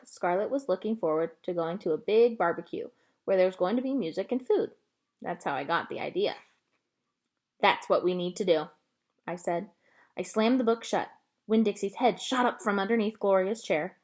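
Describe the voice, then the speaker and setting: A woman reads a children's novel aloud as its narrator. Her scripted storytelling is expressive, with animated, wide-ranging intonation. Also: mid-range voice.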